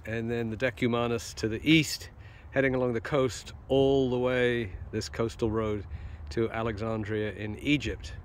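Man, mid-pitched voice, matter-of-fact, halting phrases, walking-tour commentary, outdoor handheld recording, clear ambient noise. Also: fairly even intonation. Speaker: travel vlogger. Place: outdoors at open seaside ruins.

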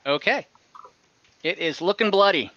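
Bright-voiced young man running a game. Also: high voice, unhurried. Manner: relaxed, with frequent fillers. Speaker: game master. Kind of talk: narrating a tabletop game. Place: home room on a video call. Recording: dull lo-fi call audio.

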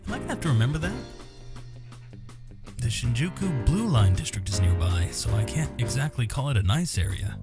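A man hosting a gaming video, reading visual novel text aloud with asides and stumbling over some words and names. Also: mid-range voice. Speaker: gaming host. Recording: music under the voice. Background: game music with guitar.

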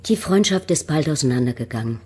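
An actress playing a reserved, wary middle-aged mother in a German radio play, with a husky voice. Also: low voice, lively intonation, fast talker, darkish tone. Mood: guarded.

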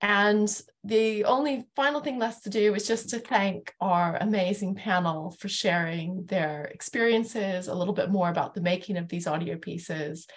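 A middle-aged woman moderating a panel discussion over a video call from a room at home. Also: fairly low pitch. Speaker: panel host.

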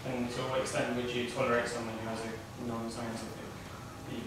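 A man puts a question from the audience in a monotone. His mid-pitched voice is distant in a very reverberant room with loud noise. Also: a little fast. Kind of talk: spoken question from the floor.

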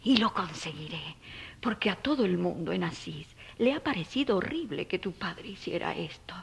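A woman playing an anguished, protective mother in an audio drama, tender and pleading, with a husky, breathy voice. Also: lowish voice, lively intonation, normal speed, full character voice. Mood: tender resolve.

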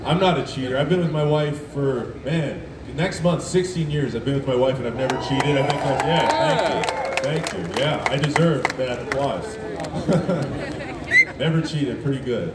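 A deep-voiced man in his thirties works the crowd in a deadpan, self-mocking stand-up set. His voice booms through festival speakers and reaches the phone from far back, with echo and a loud, laughing crowd around it. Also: normal speed, very noisy. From Canada (Alberta). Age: 30s. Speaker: stand-up comedian. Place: outdoor festival stage in a city park at night. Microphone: PA speakers recorded by a phone in the crowd. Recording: echoey amplified sound from far off. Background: laughing, cheering crowd.

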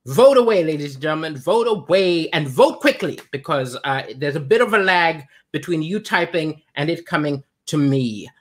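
A man's voice: on the high side, moderate pace, few pauses. A middle-aged man from Malawi hosting a live comedy stream from his kitchen, talking animatedly into a webcam. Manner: animated, gesturing, shifts between chatty asides and punchlines. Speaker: comedian and livestream host. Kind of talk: stand-up comedy on a livestream. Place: home kitchen, indoors. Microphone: webcam at desk.

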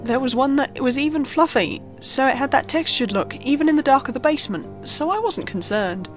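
A woman reading a scripted first-person horror story in a measured, suspenseful storytelling manner. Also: sits high, lively intonation. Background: background music under the narration.

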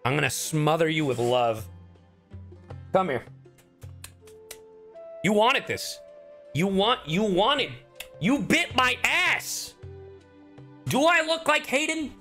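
Male streamer, casual and sweary, bright tone, expressive range, light music underneath. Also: sits high, slow, creaky, pauses often. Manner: animated, sweary. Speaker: streamer and voice actor. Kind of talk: casual live game stream chat. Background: video game music.

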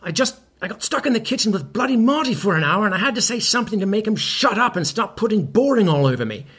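An actor playing a vain, petulant washed-up rock star in a scripted comedy podcast, with a pompous, theatrical manner. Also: male voice, high-pitched, very animated, quick pace, a bit bright, slightly rough, full character voice. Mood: sheepish. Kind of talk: scripted audio comedy acting.

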